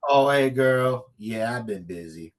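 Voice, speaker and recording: A man acting a husky, brash vengeful lone agent. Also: fairly low pitch, animated, moderate pace. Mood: loose, defensive. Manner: slangy, profane, stubborn, cocky. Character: brash, vengeful, hard-drinking lone agent, male, streetwise. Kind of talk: virtual table read of a comedy screenplay.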